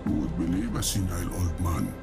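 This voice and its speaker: An actor playing an aged, deposed dynasty leader in a video game, solemn and conspiratorial in his deliberate briefing monologue. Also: deep voice, expressive, over music. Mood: ominous, obsessive. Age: older. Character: aged deposed dynasty leader, scheming, grave, obsessive patriarch.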